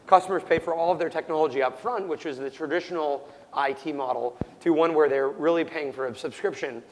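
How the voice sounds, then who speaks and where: A young man whose voice is going hoarse and cracks now and then, answering interview questions at near-shouting volume and restarting his sentences. His voice sits a little high for a man. The recording carries a long, lingering reverb. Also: fairly quick, slight background noise. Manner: loud, nearly yelling, full of ums and restarts. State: losing his voice, prone to cracking. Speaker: startup founder being interviewed.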